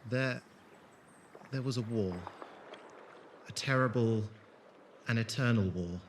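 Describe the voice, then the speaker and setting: A man playing a gentle, war-scarred wanderer in an audio drama, with an earnest, hesitant delivery. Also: medium pitch, slow, slight background noise, close to an everyday voice. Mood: haunted. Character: gentle, awkward, war-scarred male wanderer with strange powers.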